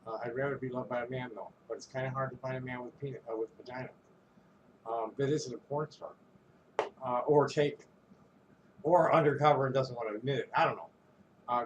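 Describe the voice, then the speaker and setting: Guy venting to the camera, mid pitched, swearing, stumbling and restarting, chuckling here and there, bit of faint noise behind. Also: brisk. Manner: rambling, profane, many restarts and stumbles; chuckles between phrases. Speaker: vlogger. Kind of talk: casual rant to camera.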